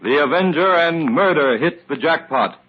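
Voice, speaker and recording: Actor as a dramatic, animated radio serial announcer. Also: male voice, a little high, moderate pace, loud music behind the voice, lightly acted. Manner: dramatic, declamatory. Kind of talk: 1940s radio scripted narration. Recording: lo-fi old radio recording with faint hiss.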